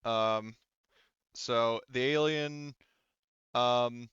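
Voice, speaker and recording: A man's voice: medium pitch, fairly even intonation, drawn-out words, fairly bright tone, smooth, dry recording, dull audio. A man acting as game master, casually narrating the action and rules of a tabletop roleplaying game.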